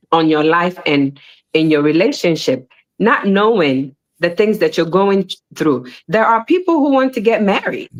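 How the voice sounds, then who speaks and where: Chatty woman on a panel show, repeats herself, voice jumps up high when she gets worked up. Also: rough recording. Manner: animated, repeats words, rising pitch when making a point. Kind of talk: casual panel discussion.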